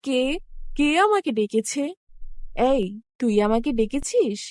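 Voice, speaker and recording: A woman voicing a benevolent, commanding fairy in an animated fairy tale, with a grand manner. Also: high-pitched, slow pace, slight background noise, full character voice. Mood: commanding, curious. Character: commanding, benevolent female fairy with a magic wand.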